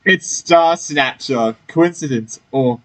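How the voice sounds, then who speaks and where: A man's voice: fairly high pitch, slow pace, poor audio. A man giving casual, joking let's-play commentary, reacting aloud to a game.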